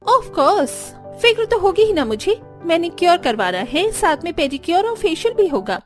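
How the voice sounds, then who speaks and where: Casting: woman playing vain, brazen young daughter-in-law for animated family drama; high, snippy, whiny delivery over faint music. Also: moderate pace. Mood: breezy, vain. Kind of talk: Hindi animated family story dialogue.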